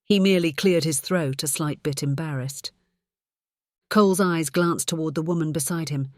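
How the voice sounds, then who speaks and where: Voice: mid-range voice, moderate pace, no room sound, studio quality. An audiobook narrator reading a scripted story.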